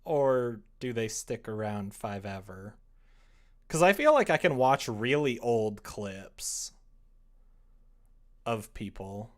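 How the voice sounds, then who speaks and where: A man's voice: medium pitch, animated, takes it slowly, long pauses. Chatty young man streaming casually. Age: young adult. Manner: chatty, playful, reading and answering chat. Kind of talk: casual livestream chat. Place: small room at home, indoors.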